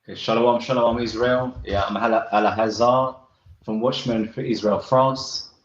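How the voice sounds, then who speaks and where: A man from London joining a video lesson as a guest, speaking in a low-key way. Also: fairly even intonation, unhurried. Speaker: guest teacher. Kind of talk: casual chat on a video call. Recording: echoey, dull remote audio.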